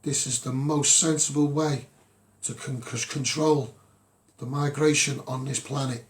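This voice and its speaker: A man's voice: medium pitch, steady tone, unhurried. A man with a Scouse accent speaks off the cuff to his followers as a campaigner, in an earnest, exhortative monologue. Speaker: social media campaigner addressing followers.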